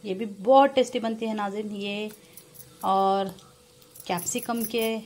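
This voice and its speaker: A woman vlogging from her home kitchen, calmly talking viewers through a recipe step by step and often addressing them directly. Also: medium pitch. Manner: addresses viewers often as nazreen, unhurried and homely. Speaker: cooking vlogger. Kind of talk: casual step-by-step cooking narration. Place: home kitchen beside frying pan. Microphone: phone camera over the stove, hands only. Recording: home kitchen with loud frying sizzle. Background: oil sizzling in the pan.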